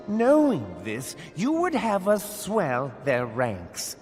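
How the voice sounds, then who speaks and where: A man's voice: high-pitched, fairly bright tone, long reverb tail, exaggerated voice. A man voicing a scheming, condescending wealthy merchant lord in a game cutscene, drawling and theatrical.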